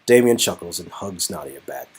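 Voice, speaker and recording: A man's voice: deep voice, animated, normal speed, a little mellow, slightly rough. A man giving a casual, playful dramatic reading of a story, with colloquial ad-libbed asides. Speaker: amateur reader performing an interactive game's dialogue.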